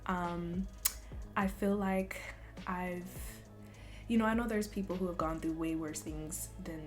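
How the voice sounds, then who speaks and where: A young woman from Louisville, Kentucky, chatting casually in a Q&A vlog, soft-spoken and relaxed with frequent ums. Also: fairly low pitch, unhurried, soft background music. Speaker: vlogger. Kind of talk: casual Q&A chat.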